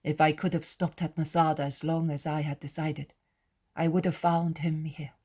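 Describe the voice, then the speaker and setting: A woman voicing Jesus as a calm, solemn, loving master, with measured and gently authoritative speech. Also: deep voice, breathy edge. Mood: reassuring, solemn.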